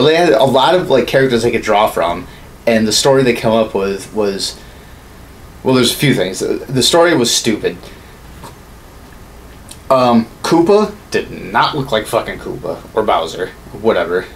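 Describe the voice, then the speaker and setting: A man's voice: mid-range voice, animated, normal speed. A man in his thirties co-hosting a podcast in a casual, opinionated chat, rambling and swearing often. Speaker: podcast co-host. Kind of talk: casual opinionated chat about films and games. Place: small home studio room, indoors. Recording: clear background room noise.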